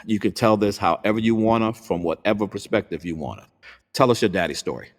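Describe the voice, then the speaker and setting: A man's voice: lowish voice, fast, in a large hall. A middle-aged man hosting a podcast interview, speaking earnestly with many fillers into a studio mic over a video call. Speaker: podcast host. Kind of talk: interview conversation. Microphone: studio microphone on video call.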